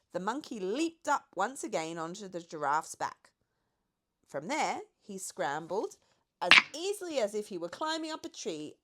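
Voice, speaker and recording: A woman narrating a children's storybook expressively as an audiobook reader. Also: medium pitch.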